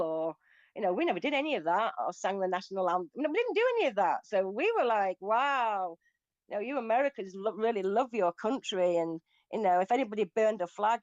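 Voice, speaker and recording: A woman's voice: fairly low pitch, a little mellow, no room sound, highs cut off. A woman from Greece with a British accent, chatting in an online audio room, often laughing and restarting her sentences. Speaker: guest speaker. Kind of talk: casual online audio chat.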